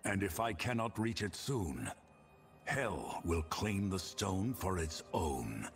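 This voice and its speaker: An earnest warrior hero in a fantasy game. His voice is deep and gravelly, and he speaks gravely and slowly over faint music. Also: big pitch swings, heavily acted. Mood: grave, urgent. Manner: grave, measured delivery.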